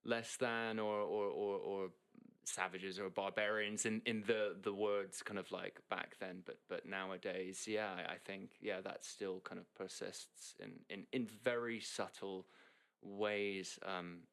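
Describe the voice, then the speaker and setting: Gravelly low-voiced young man. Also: fairly even intonation, measured pace, a bit bright. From Hong Kong. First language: English. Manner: rambling, many fillers and restarts. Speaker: guest. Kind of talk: casual interview chat.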